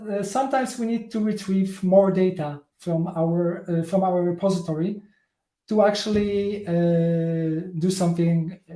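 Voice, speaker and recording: Polish-accented male tech presenter, slow and halting, filler-heavy delivery, mellow voice, roomy echo. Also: high voice. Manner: halting, many fillers and restarts. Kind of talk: live coding talk.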